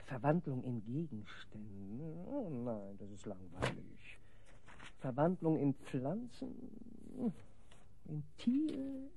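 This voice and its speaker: An actress playing a haughty, wicked forest sorceress in a German audio drama, imperious and theatrical. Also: female voice, very deep voice, very animated, takes it slowly, long pauses, background noise, full character voice. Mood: scheming, disdainful.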